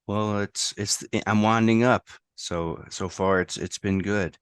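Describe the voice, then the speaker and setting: A man from the United States, a safety professional, talking hoarsely in a casual interview, halting with many restarts. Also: on the low side, steady tone, dry recording. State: hoarse from a lost voice.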